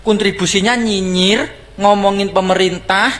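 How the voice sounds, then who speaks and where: This man speaks with a Javanese accent and a high-pitched voice. He scolds bluntly and mockingly, firing off rhetorical questions and answering them himself in the manner of a plain-spoken farmer. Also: steady tone, moderate pace, a bit bright. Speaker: farmer in a reacted-to video clip. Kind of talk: blunt scolding monologue to a student activist.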